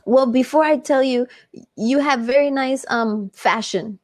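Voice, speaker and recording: Cheerful female tutor on video call, slow-paced speech, rather high voice. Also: a little mellow. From Miami, Florida. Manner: cheerful, gushing, laughs often. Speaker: tutor, singer and artist. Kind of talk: casual video-call conversation.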